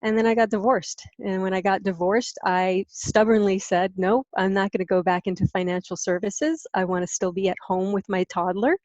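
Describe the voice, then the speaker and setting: A woman's voice: medium pitch, normal speed, few pauses, a little mellow, no room sound. Dull-sounding, dry recording of an American woman. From Hawaii, United States. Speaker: interview guest, content marketing business owner. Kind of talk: conversational interview answers.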